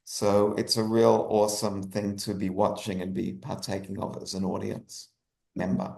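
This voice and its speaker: A man's voice: lowish voice, completely flat delivery, moderate pace, creaky, darkish tone. Guy playwright being interviewed, keen, stop-start talking, roomy echo on him. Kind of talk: conversational interview talk.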